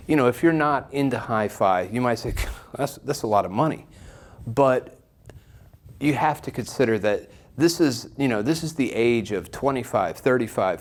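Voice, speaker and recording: A man speaking as an enthusiastic shop presenter, giving an informal product review straight to viewers. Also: mid-range voice. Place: shop listening room, indoors.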